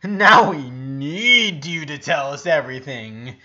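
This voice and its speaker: Man as a bossy, excited teenage big sister. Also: fairly high pitch, takes it slowly, muffled recording, heavily acted. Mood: eager, excited. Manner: commanding, emphatic.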